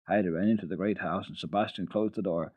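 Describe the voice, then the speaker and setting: A man giving an expressive, deliberate dramatic reading of children's literature as a radio storyteller. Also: sits low. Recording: dull phone-like old radio recording, roomy echo.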